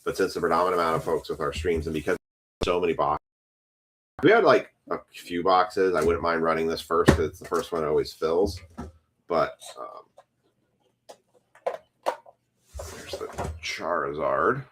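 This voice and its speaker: A man's voice: sits low, measured pace, pauses often, noisy background. A man casually chatting on a live stream while opening trading card packs, rambling to his viewers.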